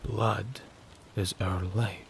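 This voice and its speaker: A man playing an arrogant, cruel vampire who speaks in a slow, menacing, commanding way. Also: lowish voice, gravelly, exaggerated voice. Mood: menacing, coaxing. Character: sinister, arrogant male vampire, cruel predatory maker. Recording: steady rain noise over the voice. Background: rain.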